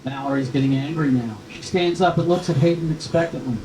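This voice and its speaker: A man's voice: on the high side, fairly quick, dark tone. A man reading stage directions as scripted narration for a screenplay. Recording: roomy, echoing, clearly noisy recording.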